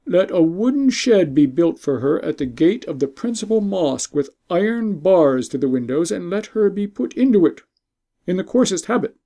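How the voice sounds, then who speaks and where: A man's voice: high-pitched, sing-song, normal speed, dark tone. A man voicing a stern, angry male emperor who hands down a harsh sentence in a storybook reading. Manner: commanding, imperious.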